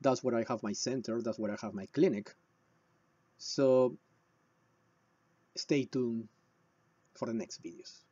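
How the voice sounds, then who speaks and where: Husky guy w peruvian spanish accent, slow emphatic explainer talk, kinda dull muffled dry audio. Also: medium pitch, warm tone, no room sound. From Peru. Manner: emphatic, short phrases with deliberate pauses. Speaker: shamanic healer presenting a video. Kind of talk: explanatory talk with personal storytelling.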